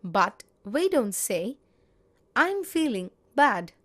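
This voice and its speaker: A woman teaching grammar, reading example sentences in a measured, deliberate way. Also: a little high, sing-song, a bit bright. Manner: measured, spells out each example. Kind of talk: slow, deliberate grammar lesson.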